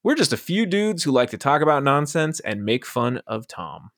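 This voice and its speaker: A man hosting a casual podcast, jokey and animated, laughing often. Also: medium pitch, moderate pace, no room sound, clean studio sound. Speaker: podcast host.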